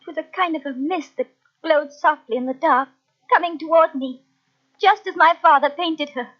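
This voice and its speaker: A woman's voice: high voice, normal speed, natural voice. An actress playing a fragile, bewildered young woman in an old-time radio mystery, hesitant and plaintive. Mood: frightened, bewildered. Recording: muffled old radio cassette transfer.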